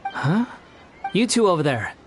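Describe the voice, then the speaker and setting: A man's voice: high voice, big pitch swings, measured pace, loud music behind the voice. An actor playing a polite, self-effacing young man working as a shop helper in a video game. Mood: wary. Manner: soft-mannered, polite, earnest. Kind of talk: video game character acting.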